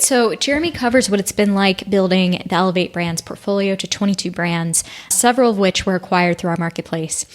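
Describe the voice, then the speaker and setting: A woman hosting a business podcast, upbeat, laughing easily and asking loose, rambling questions. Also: medium pitch. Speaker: podcast host. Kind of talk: podcast intro and interview.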